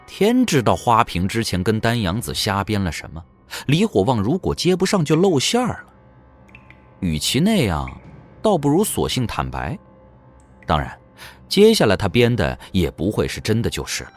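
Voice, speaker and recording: A man's voice: on the low side, huge pitch swings, moderate pace, faint music behind. A man narrating an audio drama in a scripted, dramatic storytelling manner.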